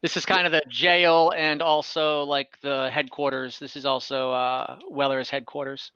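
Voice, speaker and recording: A man narrating a tabletop game as game master, casually improvising in a halting, informal way. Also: mid-range voice, little pitch movement, pushed and bright, clean tone, dry recording, muffled recording. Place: online tabletop game session from home.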